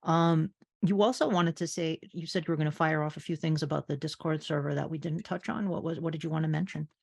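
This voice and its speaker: A woman's voice: low-pitched, fairly even intonation, fast talker, gravelly, a little mellow. A woman hosting a podcast in casual conversation, chatty and agreeable with the guests. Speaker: podcast host. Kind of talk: casual chat.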